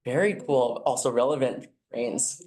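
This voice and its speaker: A man's voice: medium pitch, normal speed, darkish tone. A man moderating a panel discussion, introducing speakers and asking questions in a casual way. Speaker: moderator, data scientist.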